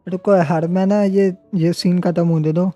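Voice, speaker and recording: A man's voice: sits high, level tone, racing, warm tone. A man with an Indian accent, a native Hindi speaker, giving casual live commentary as he streams a game. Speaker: game livestreamer. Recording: dull muffled stream audio, faint music. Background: faint game music.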